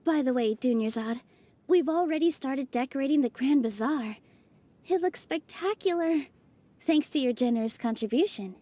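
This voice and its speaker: An actress voicing a cheerful, eager young female dancer in a video game, with a bubbly, animated way of talking. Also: high voice, normal speed. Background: game soundtrack music.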